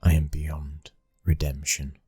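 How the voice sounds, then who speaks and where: A British man playing a brooding, dominant young mafia boss's son in an intimate ASMR roleplay, speaking with slow, commanding control. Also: a bass voice, level tone, dark tone, slightly rough, no room sound, faint music behind, full character voice. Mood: guarded, frank.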